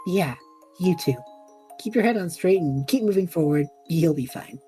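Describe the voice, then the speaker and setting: A woman's voice: fairly low pitch, animated, moderate pace, mellow, faint music behind, natural voice. A woman playing an easygoing, kind-hearted and modest young male adventurer in an audio drama. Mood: encouraging.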